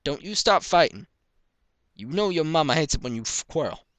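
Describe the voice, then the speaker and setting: Farmer father pony for storybook reading, male reader: gentle, folksy, indulgent, clear voice lifted in pitch. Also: animated, normal speed, no echo. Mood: mildly scolding.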